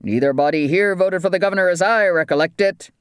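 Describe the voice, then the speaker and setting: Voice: mid-range voice, quick pace, clean tone, dry recording, clean studio sound, pitched above the performer's own voice, natural voice. An audiobook narrator voicing an angry, wild island waterman who talks in agitated, defiant rustic dialect.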